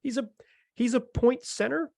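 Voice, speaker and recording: A man's voice: very high-pitched, normal speed, gravelly, a little mellow, no echo, clean studio sound. A man hosting a sports podcast, chatting casually and enthusiastically about basketball in informal language.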